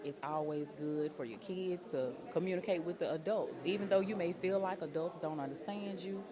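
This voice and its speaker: A woman's voice: lowish voice, normal speed. A woman, an actress talking casually in an interview. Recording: crowd chatter behind the voice.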